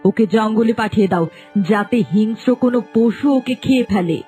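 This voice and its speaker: Haughty, high-voiced young princess, acted by a woman. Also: fairly quick, natural voice. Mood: angry, commanding. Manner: haughty, commanding. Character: vain, jealous, imperious young princess. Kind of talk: animated fairy-tale dialogue. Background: music.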